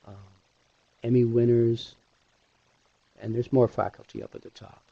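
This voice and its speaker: A middle-aged man giving an informal online presentation as an instructor, speaking haltingly with pauses mid-sentence through a headset mic. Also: medium pitch, flat delivery, vocal fry, husky, no room sound. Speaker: animation instructor hosting a webinar. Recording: dull, lo-fi webinar audio.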